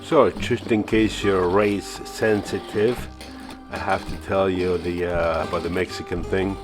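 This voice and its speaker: A man from Argentina, narrating his woodturning work in a relaxed, self-mocking voice-over with many fillers. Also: sits low, unhurried, over music. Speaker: woodturning channel host.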